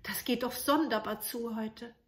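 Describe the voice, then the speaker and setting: A woman's voice: fairly high pitch, fairly even intonation, measured pace, natural voice. Timid young princess played by a female storyteller, anxious, wondering, storybook delivery. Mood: uneasy. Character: timid, uneasy youngest princess in a fairy tale.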